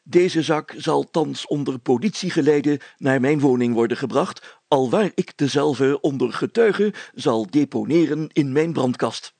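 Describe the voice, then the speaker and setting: A man's voice: medium pitch, a little slow, gravelly, hardly any pauses, darkish tone, no room sound. A man voicing a pompous, officious and fussy village mayor in a storybook reading, speaking stiffly and formally with flustered hesitations. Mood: pompous, insistent.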